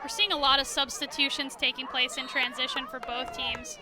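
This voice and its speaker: A woman's voice: high-pitched, steady tone, moderate pace, noisy background. An American woman giving conversational, explanatory color analysis during a live sports broadcast. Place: outdoor stadium broadcast position. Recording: crowd and music behind, outdoors. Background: crowd and music.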